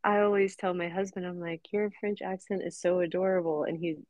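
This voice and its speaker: A woman's voice: on the low side, fairly even intonation, brisk, darkish tone, no echo. An American woman hosting a casual interview, chatty and laughing often. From United States. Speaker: podcast host.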